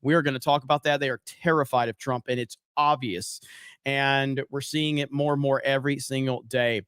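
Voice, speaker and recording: A man hosting a live stream, his voice smooth and resonant but fraying and cracking at the edges from too many streams. He talks with loose, excited energy, calling out viewers' names and reading their messages. The sound is dry and close, with no room around it. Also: mid-range voice, moderate pace, no room sound. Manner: energetic, reads out viewer chat and shout-outs. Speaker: host. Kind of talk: casual livestream chat.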